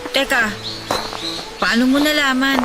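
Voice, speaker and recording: A high, hesitant young woman's voice with a Filipino accent sounds over clear music. She is shy, lovestruck and apologetic as she speaks. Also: measured pace. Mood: startled, wary. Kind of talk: Tagalog radio drama acting. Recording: music behind the voice.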